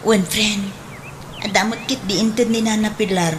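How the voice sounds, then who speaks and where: A woman's voice: medium pitch, steady tone, measured pace, close to an everyday voice. A woman playing an eager, enterprising grown daughter in a radio drama, with an Ilocano accent and exclamatory, swooping delivery. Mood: excited. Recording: faint background noise.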